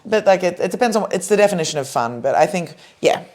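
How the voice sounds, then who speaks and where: A woman's voice: lowish voice, animated, fast, a little mellow. A young adult woman hosting a podcast in casual chat, rambling with many fillers and restarts. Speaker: podcast host.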